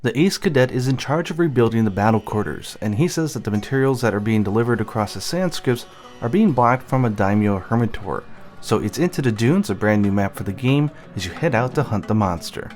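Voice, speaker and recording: A man's voice: mid-range voice, lively intonation, a little fast, darkish tone. A man giving scripted, explanatory narration of a video game's story. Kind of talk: scripted explanatory narration over game cutscenes. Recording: music behind the voice. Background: game music.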